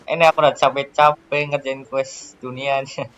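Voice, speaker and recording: A young man with an Indonesian accent doing casual let's play commentary, informal and quick to exclaim. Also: mid-range voice, little pitch movement, a bit bright, lo-fi sound, slight background noise. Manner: informal, reacting aloud with short exclamations. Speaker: gaming video host.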